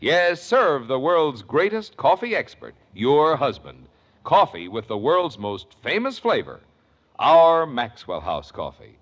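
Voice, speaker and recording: A man's voice: on the high side, sing-song, takes it slowly, a bit bright. A man reading a 1950s radio commercial as announcer, drawing out his slogans with emphasis. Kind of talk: 1950s radio advertising read.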